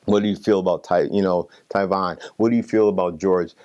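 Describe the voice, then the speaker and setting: A man's voice: sits low, fairly even intonation, fairly quick, a little mellow, muffled recording. A man talking reflectively in an interview, earnest, repeating himself for emphasis.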